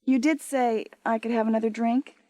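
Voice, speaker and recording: A woman's voice: a little high, fairly even intonation, normal speed, mellow, no room sound, natural voice. A woman playing a tipsy, bored American wife in her twenties, flippant and cynical, in a radio drama. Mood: tipsy, bitter. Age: 20s. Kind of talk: naturalistic radio drama acting.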